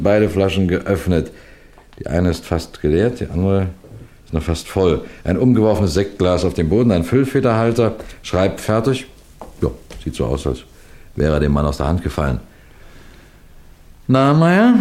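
An actor playing a shrewd, genial middle-aged detective who questions and narrates in a measured, gently teasing way, in the style of a 1960s German radio mystery. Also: male voice, low voice. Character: shrewd, genial police detective narrating his own case.

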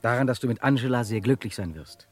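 An actor playing a steady, brisk detective who stays in control, in a crime radio play. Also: male voice, medium pitch, faint music behind, close to an everyday voice. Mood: consoling, encouraging.

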